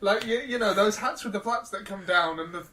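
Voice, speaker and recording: A man's voice: sits high, a little fast, background noise. A young man with a British accent, chatting casually as a podcast co-host in a rambling, chuckly way. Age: young adult. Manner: rambling anecdotes, frequent chuckling. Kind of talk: casual comedic chat.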